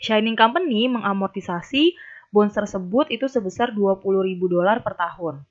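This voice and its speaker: Young woman, mid-pitched voice, methodical tutorial narration, muffled lo-fi audio. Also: normal speed. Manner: methodical, step-by-step explaining. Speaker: accounting tutor. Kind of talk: instructional narration of a worked problem. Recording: dull, lo-fi voice recording.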